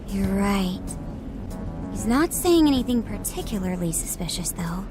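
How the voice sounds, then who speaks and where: A woman's voice: mid-range voice, very animated, measured pace, music in the background. A woman playing a serious, studious teenage girl in a video game dub, speaking in an earnest, analytical way.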